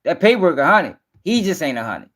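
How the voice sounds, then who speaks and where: A man's voice: on the high side, very animated, brisk, a bit bright. A man hosting a casual livestream, commenting bluntly in slang with swearing and repeating himself for emphasis. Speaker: host.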